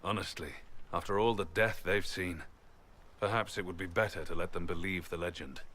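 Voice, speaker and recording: An actor playing a resolute, principled warrior in a fantasy game, with a grave, declarative delivery. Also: male voice, sits low, fairly even intonation, normal speed, fairly bright tone. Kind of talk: dramatic video game acting. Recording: clear ambient noise behind.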